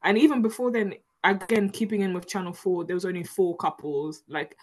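A woman's voice: lowish voice, steady tone, brisk. A woman co-hosting a podcast in casual, emphatic chat, repeating words to stress her point. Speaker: podcast co-host. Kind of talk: casual chat, reality TV recap.

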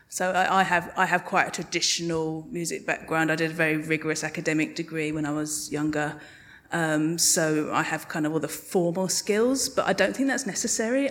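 A woman's voice: on the low side, a little hiss or hum. A woman speaking as a guest in a live interview, reflective and earnest. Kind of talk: thoughtful live panel interview. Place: live podcast festival stage.

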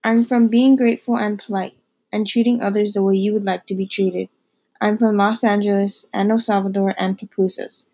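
A woman's voice: mid-range voice, flat delivery, normal speed, a little mellow, breathy edge, low-quality recording. A teenage girl reading her own poem aloud evenly. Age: teens. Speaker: student.

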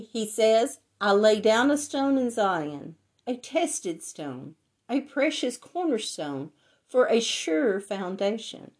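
Slow, raspy-voiced woman teaching Bible study. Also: fairly high pitch. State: hoarse from laryngitis. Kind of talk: Bible lesson, reading notes and scripture.

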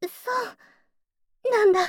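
A woman's voice: slow pace, darkish tone, no room sound, studio quality, close to an everyday voice. A young woman playing an earnest, bashful childhood friend who works as a cafe waitress, confiding in a girlish way in an intimate Japanese ASMR voice drama. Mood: bashful, flustered. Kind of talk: Japanese ASMR situation voice drama acting, intimate one-sided conversation.

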